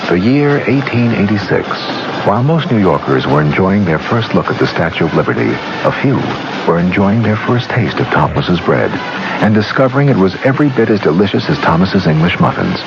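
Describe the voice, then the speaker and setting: A man's voice: low-pitched, sing-song, fairly quick. A man reading a scripted radio advertisement as a commercial announcer. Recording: muffled old radio broadcast. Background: music.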